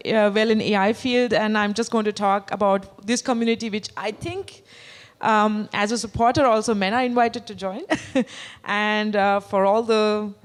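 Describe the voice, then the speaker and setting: A woman with an Indian accent giving a conference talk to an audience, hesitant, with many fillers and questions to the room. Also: mid-range voice. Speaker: conference speaker. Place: conference hall.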